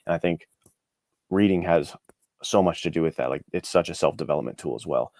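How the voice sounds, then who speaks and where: A man's voice: a bass voice, a little fast, gravelly, darkish tone, dry recording, clean studio sound. A man speaking earnestly and encouragingly as an interview guest, giving reflective answers in a casual, conversational way. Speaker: interview guest, indie author.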